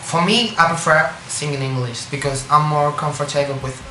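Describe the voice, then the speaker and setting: A young Spanish man with a Spanish accent, a singer answering interview questions in English, halting and searching for words with many restarts. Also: fairly high pitch, steady tone. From Spain. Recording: echoey room with music behind.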